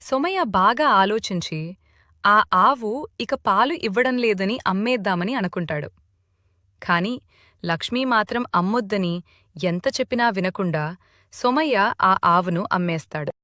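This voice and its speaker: A woman with a medium-pitched voice narrates a scripted children's moral story. The recording is close and dry, with no room sound. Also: lively intonation, a little slow, pauses often, sharp tone, no echo.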